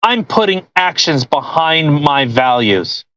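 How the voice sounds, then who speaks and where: A podcast co-host reading a post aloud with casual, mocking commentary, sarcastic and quick to swear and interject. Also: medium pitch, very animated, a bit bright.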